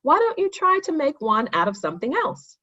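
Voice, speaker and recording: A woman's voice: high-pitched, wildly swooping pitch, a little fast, pushed and bright, lo-fi sound, voice pushed up, full character voice. A woman voicing an enthusiastic, encouraging schoolboy in a children's storybook, with friendly, exclaiming delivery.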